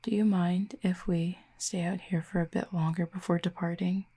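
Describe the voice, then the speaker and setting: Woman acting a soft, husky, low-voiced Cupid. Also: steady tone. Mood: tender, sincere. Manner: measured, gentle, slightly formal phrasing. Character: wry, tender, guarded female Cupid talking to a vampire. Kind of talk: intimate audio roleplay acting.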